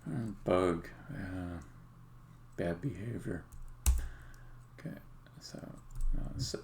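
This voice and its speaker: A man doing casual live-coding commentary, thinking aloud in a halting mutter as a game developer on a stream. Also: low-pitched, lively intonation, vocal fry, a little mellow. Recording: faint noise, keyboard typing and clicks. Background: computer keyboard typing and clicking.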